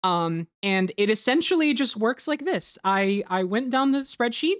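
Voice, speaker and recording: A woman's voice: on the high side, animated, moderate pace, dry recording, studio quality. A woman hosting a group commentary, explaining things in casual chat, rambling with lots of "like" and some swearing. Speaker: host of a group commentary on a fan dub.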